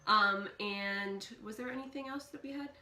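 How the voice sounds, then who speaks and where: A woman's voice: medium pitch, normal speed, slight background noise. Young woman explaining a recipe at home. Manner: relaxed, explaining step by step with fillers. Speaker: channel host. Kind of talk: casual how-to vlog. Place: home kitchen.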